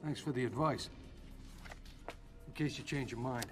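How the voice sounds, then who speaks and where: A man's voice: mid-range voice, moderate pace, over music, close to an everyday voice. A man playing a confident, smug private security boss in a video game scene. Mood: smug, cool. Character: self-assured male private security company boss. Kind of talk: video game cutscene acting.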